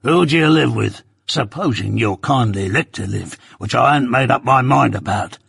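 A man voicing a fearsome escaped convict, gruff and threatening, in a husky, dry-sounding read. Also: medium pitch, moderate pace, a bit bright, dry recording, heavily acted. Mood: brooding. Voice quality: growling, gruff. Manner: menacing, barking orders.

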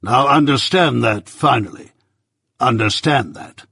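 A man's voice: mid-range voice, creaky, pushed and bright, husky, no echo, studio quality, heavily acted. A man doing a stern, commanding lawyer. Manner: clipped, emphatic, slow warnings. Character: stern older male lawyer, curt and commanding.

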